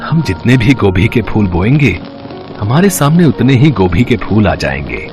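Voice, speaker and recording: A man voicing a gentle, loving poor village father, earnest, in an animated moral story with music clearly behind him. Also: on the low side, moderate pace. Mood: amazed.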